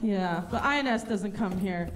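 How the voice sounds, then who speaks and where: A woman's voice: medium pitch, moderate pace, a crowd in the background. A woman from Russia doing stand-up comedy on stage, telling stories in a conversational, sarcastic way. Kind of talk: stand-up comedy before a live audience. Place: live comedy showcase at a lodge venue.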